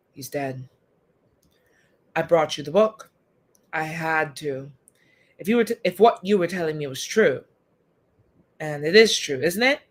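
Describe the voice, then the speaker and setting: A performer voicing a polite, grieving English doctor, a middle-aged father, with a put-on British accent in an amateur story reading. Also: medium pitch.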